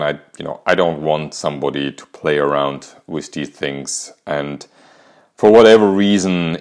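Low-voiced Austrian man telling his story. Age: 50s. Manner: calm, reflective, candid. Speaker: podcast host. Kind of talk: personal storytelling monologue.

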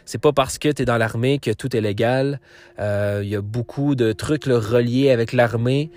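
A man's voice: mid-range voice, moderate pace, a little mellow, a little hiss or hum. Quebec French man rambling on his podcast. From Quebec, Canada. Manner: rambling, many fillers and restarts. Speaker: podcast host. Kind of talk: casual solo podcast outro.

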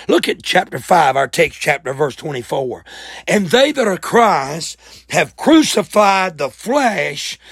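A man preaching a fervent church sermon in a raspy voice, with emphatic, folksy exclamations and repeated phrases. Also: high voice, dry recording, studio quality. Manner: folksy, colloquial.

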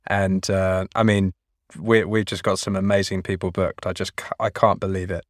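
A man's voice: low voice, level tone, normal speed, no echo, clean studio sound. A man hosting a podcast, talking enthusiastically and stammering through his sentences. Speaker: podcast host. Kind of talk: casual chat.

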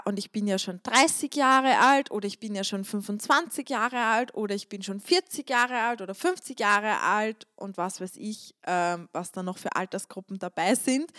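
A woman's voice: medium pitch, fairly bright tone, no room sound, clean studio sound. A woman hosting a solo podcast on stretching, talking in a chatty, emphatic way and repeating words to stress a point. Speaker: podcast host and stretching coach. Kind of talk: casual solo podcast monologue.

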